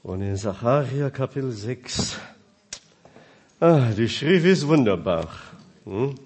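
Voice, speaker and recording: A man preaching a sermon in German, which is not his first language, asking his listeners questions and swinging between loud and quiet. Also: mid-range voice, animated, takes it slowly, husky.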